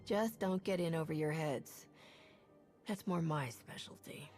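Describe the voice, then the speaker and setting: A woman's voice: sits low, measured pace, darkish tone, faint music behind. An actress playing an earnest, principled woman hero who speaks directly.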